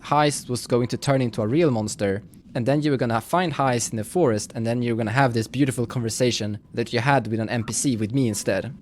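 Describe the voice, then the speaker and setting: A man acting as game master, explaining and wrapping up a role-playing session with halting, often reworded phrasing. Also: medium pitch, a little hiss or hum.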